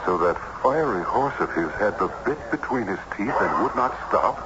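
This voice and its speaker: An actor playing a curious, incredulous period judge who speaks formally and dramatically in an old radio drama. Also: male voice, on the low side, expressive, moderate pace, lightly acted. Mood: intrigued. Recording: noisy, muffled old radio recording.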